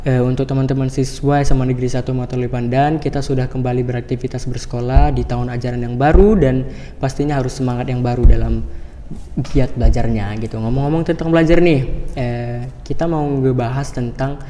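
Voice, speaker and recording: A man's voice: mid-range voice, steady tone, brisk, mellow, a little hiss or hum. A teenage boy hosting a school podcast, upbeat and casual with many fillers. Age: teens. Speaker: podcast host. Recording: reverberant room.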